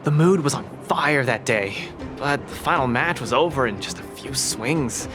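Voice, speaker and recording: An actor playing a breezy, boyish young fighting champion in a video game. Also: male voice, medium pitch, expressive, moderate pace, lightly acted. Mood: sheepish. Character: upbeat, cocky young male fighting champion. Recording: music clearly behind.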